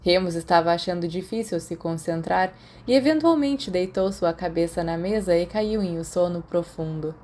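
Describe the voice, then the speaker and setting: Mellow Brazilian woman narrating a story. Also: lowish voice, normal speed, hardly any pauses, slight background noise. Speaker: fan fiction audiobook narrator.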